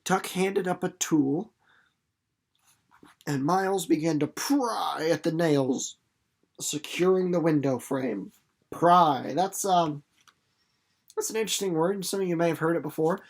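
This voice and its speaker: A man reading a story aloud expressively and explaining it casually in an animated, folksy, playful teacher's manner. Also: a little high, a little slow, long pauses, slightly rough.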